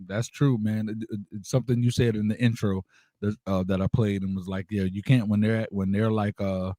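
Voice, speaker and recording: A man's voice: fairly low pitch, flat delivery, a little fast, warm tone, dry recording. Slangy male radio host, dry sound. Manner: informal, slangy, appreciative interjections. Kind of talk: casual urban radio interview.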